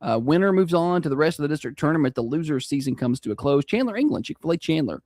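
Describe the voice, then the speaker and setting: A man with a high-pitched Southern accent, hosting a morning radio show in casual, self-deprecating chat. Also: very animated, quick pace, a little mellow, dry recording, studio quality. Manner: chatty, self-deprecating, laughs easily. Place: radio studio.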